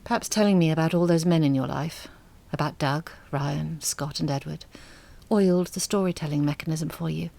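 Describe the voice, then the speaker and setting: An actress in an audio drama playing a reserved, selfless single mother, speaking in a measured, understated and articulate way. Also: low voice, vocal fry, warm tone. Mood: firm, polite.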